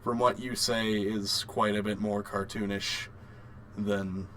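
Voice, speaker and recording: A man co-hosting a podcast in casual chat, halting and often restarting his phrases. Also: on the low side, level tone, a bit bright. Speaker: podcast co-host. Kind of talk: casual chat about video game movies.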